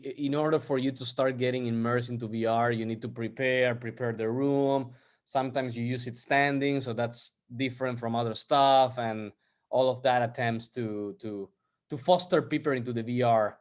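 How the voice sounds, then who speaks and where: A man from Argentina, speaking as an interview guest in a casual, hesitant way with many fillers and restarts. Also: medium pitch. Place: based in the U.S.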